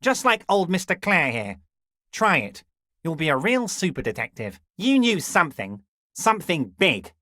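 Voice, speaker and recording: An actor playing a scheming, jovial middle-aged union boss in a video game, folksy, flattering and sly. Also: male voice, on the high side, normal speed, no echo, studio quality.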